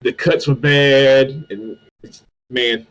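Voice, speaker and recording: A man's voice: medium pitch, fairly even intonation, slow, low-quality recording. A man from North Carolina, a guest in a casual interview, who often stammers and repeats his words. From North Carolina, USA. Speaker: guest, toy reviewer.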